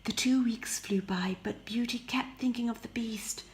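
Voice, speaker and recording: A woman narrating a scripted fairy tale as an expressive storyteller, often hushing her phrases. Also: a little high, normal speed. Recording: faint background noise.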